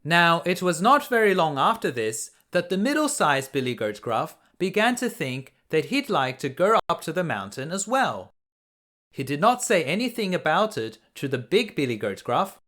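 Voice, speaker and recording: A man reading a children's fairy tale aloud as a storyteller, in an expressive, deliberate way. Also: on the high side.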